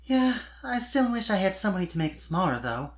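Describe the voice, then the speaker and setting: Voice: medium pitch, very animated, fairly quick, voice pushed up. A performer voicing an exasperated young female pony pop singer from a storybook, wry and wistful, with dramatic, emphatic, teasing delivery.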